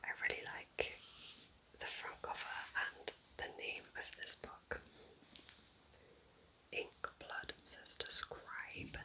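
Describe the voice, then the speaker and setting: Female ASMR creator, full whisper, breathy and soft, gentle unhurried delivery, casual and intimate recommendations. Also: low-quality recording.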